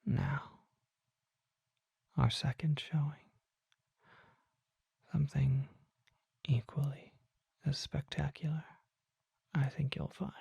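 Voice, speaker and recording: A performer voicing a mysterious, courtly vampire apothecary in a breathy, formal ASMR whisper, close to the microphone. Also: medium pitch, flat delivery, dry recording, full character voice. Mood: hushed, reverent. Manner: slow, formal, deliberate, hushed.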